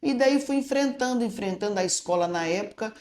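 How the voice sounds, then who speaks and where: An older woman, a retired school director, telling stories from her early years in a relaxed way and often tagging her sentences with "né?". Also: on the high side, brisk. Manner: storytelling, tags statements with "né?". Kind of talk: reminiscing interview answers. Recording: echoey room.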